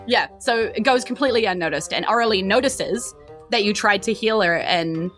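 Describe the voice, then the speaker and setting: A woman's voice: medium pitch, moderate pace, a bit bright, faint music behind. A woman improvising informal narration as a tabletop game master.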